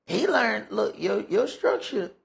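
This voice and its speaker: A man's voice: sits high, sing-song, slow pace, gravelly, husky. A man teaching scripture in an informal sermon, conversational and emphatic, repeating phrases for effect. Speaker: priest teaching a Bible study.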